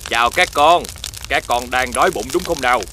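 An actor playing a menacing old monster in a children's cartoon, with a theatrical, drawn-out, taunting delivery. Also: mid-range voice, slight background noise, natural voice. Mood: coaxing. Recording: loud music under the voice.

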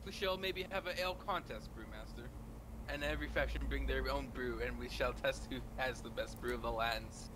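A man's voice: medium pitch, brisk, noisy background. A man role-playing at a feast, speaking formally about brews. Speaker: role-play gamer.

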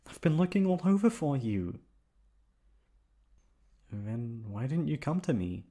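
A man playing an affectionate, teasing young boyfriend in a roleplay audio, coaxing playfully with drawn-out words. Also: mid-range voice, very animated, mellow, voice pushed up. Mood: playful, searching. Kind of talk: roleplay ASMR audio acting.

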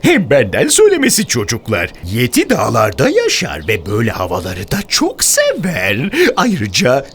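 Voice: mid-range voice, wildly swooping pitch, fairly bright tone, slight background noise. An actor voicing a mischievous, teasing trickster in a children's cartoon, theatrical and mocking. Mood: sly, flattering. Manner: theatrical, mocking, drawn-out emphasis.

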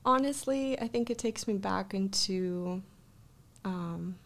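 Creaky-voiced Russian woman in conversation. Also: mid-range voice, slow. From Russia, born in Kazakhstan. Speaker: life coach, podcast guest. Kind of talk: casual interview, telling personal story. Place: small podcast studio, indoors. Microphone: close studio microphone, headphones.

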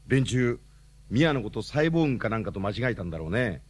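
An actor playing a talkative, excitable young man in a radio drama, knowing, protective and a little exasperated. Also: medium pitch, fast talker. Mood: explanatory.

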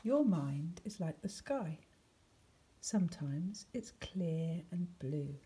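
A woman reads a picture book to children in a lowish, mellow voice. Her delivery is expressive and deliberate, with plenty of movement in pitch. There is faint noise in the recording. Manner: deliberate, expressive reading with dramatic emphasis and hushed words. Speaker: teacher reading a picture book aloud. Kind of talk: expressive scripted storybook narration for children.

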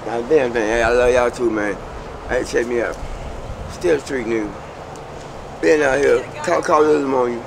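Middle-aged man, gravelly voice, laid-back street talk, traffic noise behind. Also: medium pitch, fairly even intonation, unhurried, pauses often. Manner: laid-back, laughing, slangy, trailing off. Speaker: interviewee on the street. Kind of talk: casual street chat. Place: outdoors by a building and parking lot.

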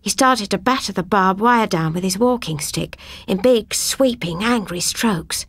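A woman narrating a scripted first-person ghost story as an audiobook reader, with a husky voice. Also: medium pitch, measured pace, fairly bright tone, no room sound, studio quality.

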